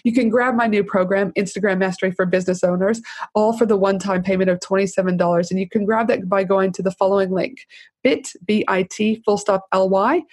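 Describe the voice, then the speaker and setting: Woman podcast host, bubbly, mid pitch, dry close recording. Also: steady tone, fairly quick, a little mellow, slightly rough, no echo. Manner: bubbly, enthusiastic, upbeat. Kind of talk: podcast interview and ad read.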